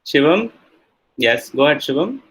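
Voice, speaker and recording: A male university lecturer with an Indian English accent leads an online class. His voice sits a little high and his talk is full of fillers as he coaxes students to answer. It is a relaxed, halting classroom manner. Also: husky, poor audio.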